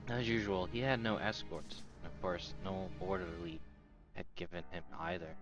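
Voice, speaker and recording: Deep voiced guy reading visual novel narration out loud, music behind him. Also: very animated, normal speed, gravelly, lo-fi sound. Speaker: narrator reading translated game text aloud. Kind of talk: scripted narration.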